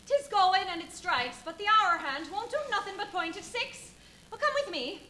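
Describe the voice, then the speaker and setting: A woman's voice: very high voice, lively intonation, normal speed, bright, forceful tone, slight background noise, heavily acted. A woman playing a chatty, bossy village innkeeper's wife in a live radio play, fussy, gossipy and full of exclamations. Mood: deferential, chatty. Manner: gossipy, exclamatory, deferential to guests, scolding the maid.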